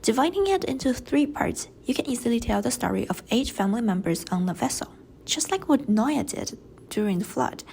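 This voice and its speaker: A young woman whose first language is Chinese, reading a class presentation in a careful, measured way with light breathy passages. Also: on the high side, expressive, darkish tone, a little hiss or hum. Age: young adult. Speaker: student presenter. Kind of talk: read class presentation narration.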